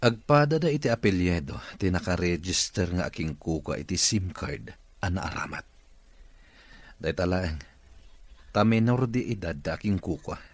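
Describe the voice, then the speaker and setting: An actor with an Ilocano accent playing a wealthy, authoritative boss in a radio drama. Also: male voice, low voice, expressive, moderate pace. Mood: stern, resolute. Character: wealthy recovering boss, stern and resolute.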